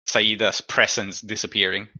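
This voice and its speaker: A man with a very bright, forceful voice at medium pitch, heard through slightly dull, lo-fi audio. He works as game master, improvising narration for the players. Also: level tone, moderate pace.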